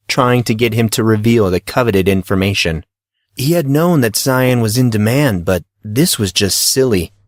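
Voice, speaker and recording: A male narrator reads a fiction story from a script. His pitch is average for a man, and the recording is close and dry with hardly any room sound. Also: no echo. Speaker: audiobook narrator.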